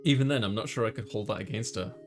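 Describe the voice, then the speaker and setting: A man's voice: on the low side, fast talker, pitched below the performer's own voice, close to an everyday voice. A man voicing an easygoing young student, thoughtful and casual, in a visual novel reading. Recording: music playing behind the voice.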